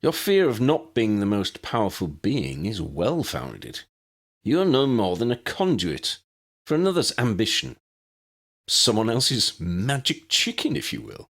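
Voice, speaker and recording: Wry old wizard, very lively intonation, done by a man. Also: lowish voice, pitched above the performer's own voice, exaggerated voice. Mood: probing, mocking. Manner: measured, pedantic, needling. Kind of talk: fan-fiction audiobook character voice.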